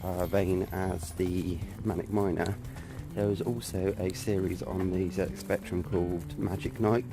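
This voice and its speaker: Low, flat-voiced man with a stuffy nose. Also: unhurried, vocal fry, hardly any pauses, music in the background. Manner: rambling, hesitant with many fillers. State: stuffy nose and sore throat from hay fever. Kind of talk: casual chat. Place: outdoors on a residential street. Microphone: phone or camera held at arm's length.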